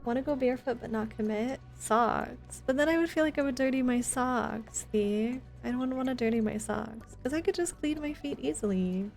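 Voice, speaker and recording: A woman's voice: on the high side, moderate pace, gravelly, few pauses, darkish tone, faint music behind. A woman chatting informally and playfully with viewers while she streams a video game. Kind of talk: casual live gaming chat with viewers.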